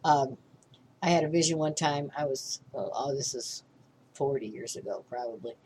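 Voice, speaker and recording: Low-voiced older woman teaching spirituality. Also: normal speed, darkish tone, a little hiss or hum, poor audio. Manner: rambling, with frequent restarts and tag "okay?". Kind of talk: informal spiritual class talk.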